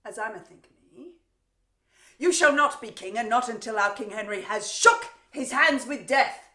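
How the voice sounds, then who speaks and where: Slow, vengeful, gloating warrior queen. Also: medium pitch, lively intonation, fairly bright tone. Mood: scornful, menacing. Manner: theatrical, taunting, drawn-out pauses. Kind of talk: Shakespearean stage monologue. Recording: roomy, echoing untreated room.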